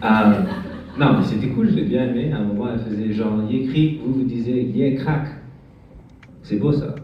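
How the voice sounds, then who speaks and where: A young man from France performing a stand-up set on a bar stage, talking in a halting way with lots of fillers and restarts. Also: mid-range voice, slight background noise. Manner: hesitant, halting delivery with many fillers and restarts. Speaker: stand-up comedian. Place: bar stage with live audience. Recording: echoey, dull room sound from far off, music behind.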